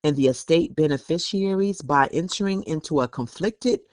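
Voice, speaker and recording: A man's voice: on the high side, moderate pace, no room sound. A man hosting a podcast, reading documents aloud and giving opinionated, conversational commentary. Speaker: podcast host.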